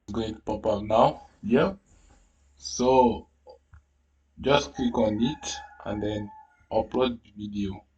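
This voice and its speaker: Tutorial host, male, French native speaker, hesitant and unhurried, slightly low pitch. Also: darkish tone, far from the mic, muffled recording. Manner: hesitant, many fillers and restarts. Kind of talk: casual screen-recorded tutorial.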